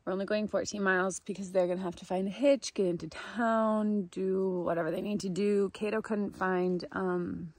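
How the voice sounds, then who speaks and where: A woman's voice: mid-range voice, steady tone, moderate pace, hardly any pauses, darkish tone, no echo. A young woman talking in a chatty, informal, rambling way, like a casual video diary recorded on a phone out in the woods. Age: young adult. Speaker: vlogger hiking a long trail. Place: outdoors in woodland. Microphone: phone at arm's length. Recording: faint outdoor ambient noise.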